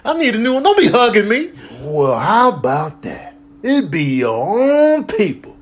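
A man's voice: high voice, wildly swooping pitch, moderate pace, a bit bright, slightly rough, exaggerated voice. A man doing comedic voiceovers as indignant, sassy talking house pets, trading slangy, exasperated trash talk.

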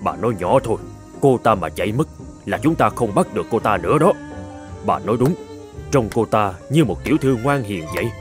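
A man voicing a grumbling but soft-hearted old farmer in an animated folk tale. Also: on the low side, fairly quick, soft background music, close to an everyday voice. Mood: wary, grumbling.

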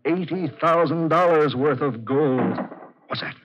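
A man's voice: a little high, measured pace, noisy background, lightly acted. A man playing a bossy, determined treasure hunter in a 1940s radio horror drama, terse and dramatic. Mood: firm. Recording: muffled vintage radio recording with loud music.

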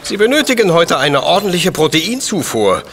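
An actor playing a shrewd, unflappable, pedantic professor detective in an audio drama, with precise, long-winded formal diction. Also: male voice, on the high side, very animated, moderate pace, fairly bright tone, a little hiss or hum. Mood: cheerful, courteous. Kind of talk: German audio drama acting.

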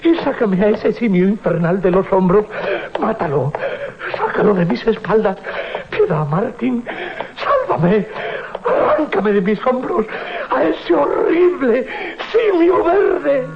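An actor playing a frightened, stooped, cursed man with a quavering voice and halting pleas in a radio horror drama. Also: high voice, very animated, exaggerated voice. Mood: terrified, pleading. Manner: halting, pleading. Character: Max Burke, frightened stooped man cursed with sudden aging. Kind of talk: 1980s Spanish radio horror drama acting. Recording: dull 1980s radio recording.

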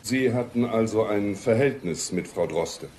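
An actor playing a sober, probing police detective in a German radio crime drama, questioning in a measured, matter-of-fact way. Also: male voice, on the low side, lively intonation, a little mellow, a little hiss or hum.

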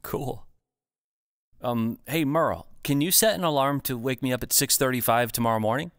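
A man's voice: medium pitch, fairly bright tone, smooth, dry recording, studio quality, lightly acted. An actor playing an easygoing office worker who is delighted with his new smart home device. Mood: cheerful. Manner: casual and cheerful, quick to laugh. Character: easygoing male office worker, upbeat, a new gadget owner. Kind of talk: comic radio play.

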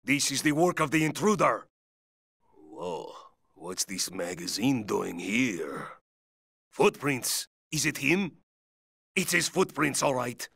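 Terse, forceful soldier on guard. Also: male voice, medium pitch, sing-song, takes it slowly, vocal fry, slightly rough, no echo, studio quality, full character voice. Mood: businesslike. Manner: terse, barking. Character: alert male enemy soldier guard, gruff and urgent. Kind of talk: video game soldier barks and radio reports.

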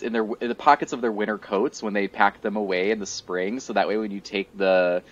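A man in his thirties co-hosting a casual podcast chat over a video call, a little congested, chatty and often laughing. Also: fairly low pitch. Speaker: podcast co-host. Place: home room, indoors. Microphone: headset on a video call. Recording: muffled lo-fi video call audio.

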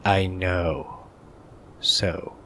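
Man acting a grumpy young male incubus, low voice, halting and slow, grudging, ASMR roleplay, rain ambience. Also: slight background noise, full character voice. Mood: stern, sardonic. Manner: hesitant, grudging, sighing, half-whispered in places. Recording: steady rain ambience behind voice.

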